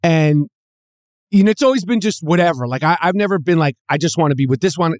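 A woman's voice: deep voice, lively intonation, a little mellow, no room sound, clean studio sound. A woman from New York chatting casually as a guest on a podcast, often stammering and restarting her sentences. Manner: halting, many restarts and stammers. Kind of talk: casual chat.

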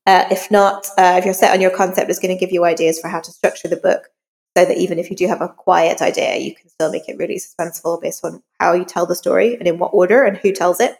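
A woman's voice: lowish voice, fairly even intonation, fast, gravelly, darkish tone. Woman in a very reverberant space, conversational. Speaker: crime novelist, podcast guest. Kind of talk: conversational interview answers. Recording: reverberant hall-like echo.

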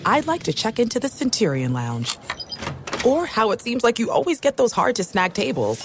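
A woman reading a smooth, persuasive scripted commercial. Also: a little high, big pitch swings, normal speed, noisy background. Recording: commercial with clear music.

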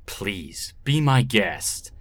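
An actor playing a wounded, defiant male hostage in an audio drama, sardonic and shouting in pain. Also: lowish voice, big pitch swings, drawn-out words, no room sound, heavily acted. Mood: bitter defiance.

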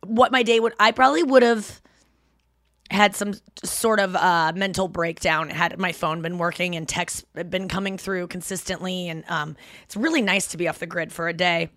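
A woman hosting her podcast in casual, rambling chat, a little hoarse, with lots of fillers and restarts. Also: fairly low pitch, steady tone, normal speed, fairly bright tone, dry recording, clean studio sound. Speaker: podcast host.

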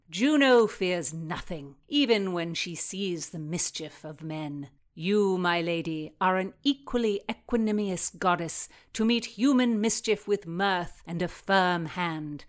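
A woman's voice: fairly low pitch, measured pace, few pauses, natural voice. A woman voicing a haughty nobleman in a historical story, pompous and flowery in his speech. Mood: flattering. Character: haughty, baiting, flattering nobleman. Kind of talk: dramatized historical fiction reading.

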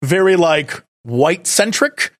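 Lively, sarcastic podcast co-host. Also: medium pitch, a little slow. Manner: sarcastic, mocks historical attitudes in put-on voices. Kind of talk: casual podcast banter.

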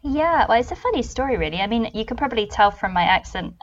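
A British woman from the UK, a travel consultant answering interview questions thoughtfully with many pauses and restarts. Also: a little high, big pitch swings, dull audio.

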